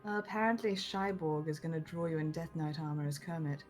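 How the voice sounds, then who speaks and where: A woman's voice: deep voice, fairly quick, faint music behind. A woman chatting casually and playfully as a game livestream player, happy to swear. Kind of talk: casual chat.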